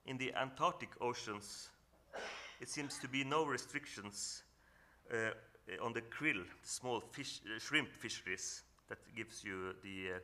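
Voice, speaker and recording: A man from northern Norway with a Norwegian accent gives an earnest, reflective talk on a panel, often pausing and restarting as he searches for English words. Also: medium pitch, bright, forceful tone, slight background noise. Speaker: panel speaker. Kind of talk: reflective presentation at a symposium.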